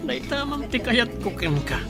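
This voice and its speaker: An actress playing a sly, scheming confidante in an Ilocano radio drama, coaxing and urging. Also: female voice, fairly high pitch, expressive, slow. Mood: sly, pleased. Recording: music playing behind the voice.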